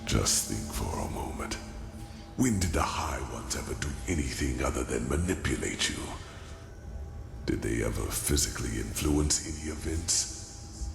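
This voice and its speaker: Ancient brooding sage from a fantasy game, super deep n gravelly, slow, echoey with music behind. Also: male voice, lively intonation, full character voice. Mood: grave, resigned. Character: ancient weary male sage revealing bleak cosmic truths. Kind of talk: solemn dramatic game monologue. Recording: echoey voice over clear background music.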